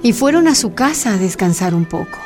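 A woman's voice: fairly high pitch, big pitch swings, brisk. A woman giving scripted dramatic narration for a radio drama. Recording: music bed under voice.

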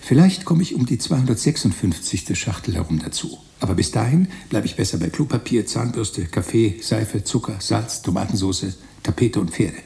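An actor playing a wry, digressive narrator in a literary radio play, musing in a conversational, ironic way. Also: male voice, on the low side, lively intonation, normal speed, vocal fry, hardly any pauses, warm tone. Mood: fussy, grumbling.

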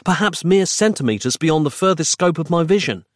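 A man's voice: high voice, very animated, brisk, no echo, clean studio sound, voice pushed up. A man acting an anguished, exasperated male hero in a comic horror tale, wordy and melodramatic. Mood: wordy, urgent. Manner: melodramatic, over-explaining, urgent.

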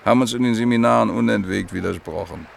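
A man's voice: fairly low pitch, quick pace, creaky, a little hiss or hum. An actor playing a married economist in his mid-thirties, wry and evasive, speaking in clipped phrases in a radio play. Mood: matter-of-fact, guarded. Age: middle-aged.

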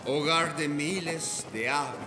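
A man's voice: fairly high pitch, takes it slowly. A man playing a proud, menacing old ghost pirate captain in a radio drama, grave and theatrical. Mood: solemn, reverent. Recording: music behind. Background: music.

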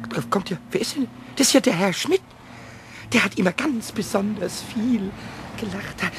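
A man playing a cheeky, defiant little invisible kobold in a German children's radio play, with a squeaky, creaky voice and excitable, stammering grumbles. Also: heavily acted. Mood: mischievous. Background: street traffic noise.

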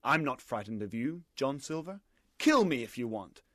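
A man's voice: medium pitch, sing-song, normal speed, a bit bright, dry recording, studio quality, voice pushed up. A man voicing a brave, defiant boy with an earnest, declarative manner in a pirate adventure audiobook. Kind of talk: dramatized audiobook character voice.

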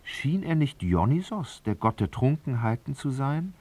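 An actor as a grave, observant literary narrator, telling the story expressively in a radio drama. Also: male voice, medium pitch, very animated, measured pace, a little mellow. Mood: intense.

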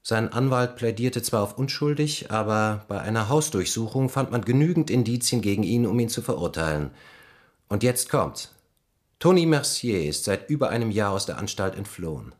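An actor playing a sober, businesslike police inspector in a radio play, formal and matter-of-fact. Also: male voice, on the low side, lively intonation, normal speed, close to an everyday voice. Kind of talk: German radio play acting.

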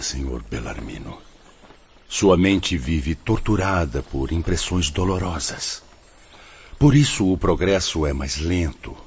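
An actor playing a wise, solemn spirit teacher in a radio drama, speaking in a didactic, oratorical way. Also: male voice, extremely low pitch, darkish tone, slightly rough, heavily acted. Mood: grave, encouraging. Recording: dull, muffled radio drama recording.

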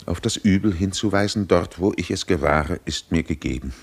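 An actor playing a grave, penetrating physician who speaks in measured, oratorical phrases in a radio drama. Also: male voice, deep voice, vocal fry, slightly rough, exaggerated voice. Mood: accusing. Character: solemn, penetrating physician who reads faces. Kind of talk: theatrical radio drama acting.

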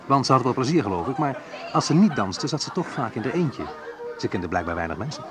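A man's voice: medium pitch, sing-song, brisk, a crowd in the background, close to an everyday voice. An actor playing a wry, sensitive young man who recalls his student love life in an intimate first-person radio play monologue. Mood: wry, reminiscing.

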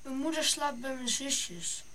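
High-voiced earnest young boy. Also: expressive, unhurried. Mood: quiet, earnest. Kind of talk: radio drama acting. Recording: clearly noisy background.

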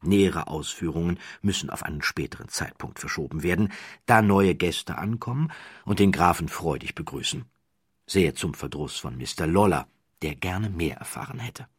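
Deep, husky male audiobook narrator. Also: expressive, normal speed, no room sound, clean studio sound. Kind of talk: scripted literary audiobook narration of a true-crime story.

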